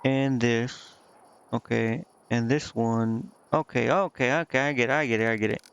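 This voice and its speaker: A man's voice: mid-range voice, steady tone, normal speed, creaky, clear, resonant tone, dull audio. A man livestreaming a game, thinking aloud in a casual, muttering way as he puzzles things out. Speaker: livestreamer playing a strategy role-playing game. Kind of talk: casual gaming stream commentary, thinking aloud. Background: game music.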